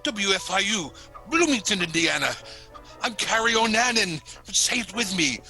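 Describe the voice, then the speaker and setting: A man's voice: high-pitched, lively intonation, moderate pace, sharp tone, faint music behind, heavily acted. A man playing a flamboyant, suspicious radio station announcer, theatrical and comic, in an audio drama.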